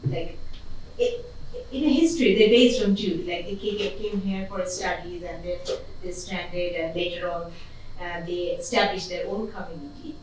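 A woman from Bangladesh, speaking up from the audience, halting and leaning on "like". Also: lowish voice, a little mellow. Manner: halting, frequent "like". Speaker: audience member. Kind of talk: question-and-answer comment. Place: community conference room. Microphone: far camera. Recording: echoey room from far off, faint hiss.

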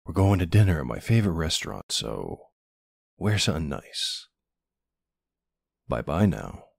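A man playing a tender but commanding mafia boss husband in an ASMR roleplay, with a low, gravelly, husky voice. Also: sing-song, measured pace, a little mellow, no room sound, full character voice. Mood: gentle, polite.

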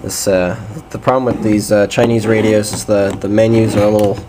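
A man's voice: on the low side, little pitch movement, brisk. A man giving a casual, hesitant hands-on demonstration of radio gear. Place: small indoor room at a desk. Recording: noisy amateur camcorder or phone audio.

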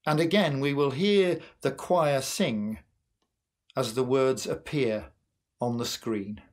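A man in his sixties leading worship as a minister, reading a sermon and prayers in an earnest, measured way with deliberate pauses. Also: mid-range voice. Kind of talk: sermon and prayer, read from a script. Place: small curtained room at home, indoors.

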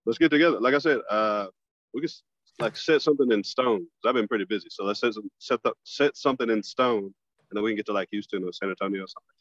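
A man, a stand-up comic, chatting casually as a podcast guest over a phone video call, loose and relaxed, often restarting his phrases. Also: on the low side, fast, no room sound, dull audio. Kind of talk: casual conversation.

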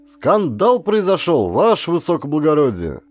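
A man's voice: a little high, huge pitch swings, normal speed, darkish tone, soft background music, pitched above the performer's own voice. A man voicing a servile naval secretary who gives a formal, obsequious military report to his superior in an audiobook. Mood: anxious formal report.

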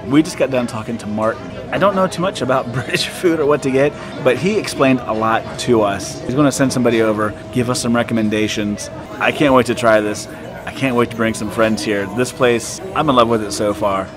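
A man's voice: medium pitch, brisk. Man, 40s, vlog host, self-filmed, upbeat travel chatter over background music. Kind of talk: casual travel vlog narration. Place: outdoors at a market and indoors in shops. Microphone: handheld camera at arm's length.

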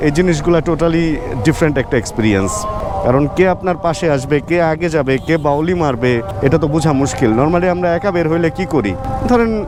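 A man with a Bangladeshi accent and a notably high voice talks in a casual vlogging style. He drops English words into his sentences as he goes. The recording is made outdoors on a motorbike, with clear traffic noise around him. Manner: mixes English words into speech. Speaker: motovlogger. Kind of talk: casual vlog chat while riding. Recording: loud road and traffic noise.

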